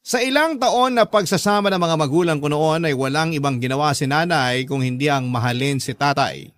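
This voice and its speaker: A man with a Filipino accent narrating as a sorrowful grown child in an earnest, measured radio drama letter style. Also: on the high side, a bit bright, dry recording, natural voice. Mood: hurt, reflective. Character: sorrowful grown child recounting a father's betrayal.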